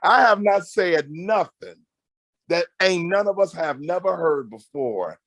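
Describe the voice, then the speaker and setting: A loud, emphatic middle-aged man giving animated, conversational advice as a guest counselor, often repeating phrases to drive them home. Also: high voice, a little slow, no room sound. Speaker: guest marriage counselor and mentor.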